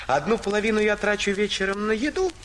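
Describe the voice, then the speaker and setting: An actor playing a cheerful, hospitable poor bast-shoe maker who laughs often, in an audio fairy tale. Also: male voice, sits high, very animated, normal speed, a bit bright, slight background noise. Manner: jolly, laughing often, eager to welcome.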